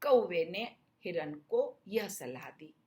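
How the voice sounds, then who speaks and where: A woman's voice: lowish voice, big pitch swings, slow pace, mellow. A woman narrating a scripted children's story in an explanatory way meant for young listeners.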